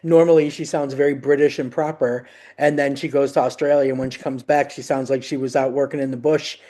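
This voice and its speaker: Mellow-voiced man co-hosting a chat. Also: medium pitch, little pitch movement, a little fast. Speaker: podcast co-host. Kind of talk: casual interview chat.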